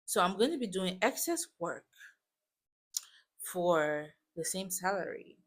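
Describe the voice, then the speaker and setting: A woman's voice: on the low side, lively intonation, slow. A woman in her twenties from West Africa, a native French speaker with a West African accent, chatting casually as a vlogger and telling a personal story with emphatic asides. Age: 20s. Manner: chatty, informal, emphatic asides and check-ins. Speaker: YouTube vlogger.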